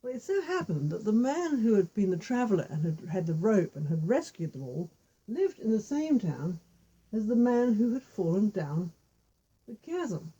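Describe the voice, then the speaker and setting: A woman's voice: mid-range voice, sing-song, normal speed, dark tone, husky, low-quality recording. A woman telling a folk tale informally, with repetition and little spoken asides. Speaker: storyteller with puppet figures.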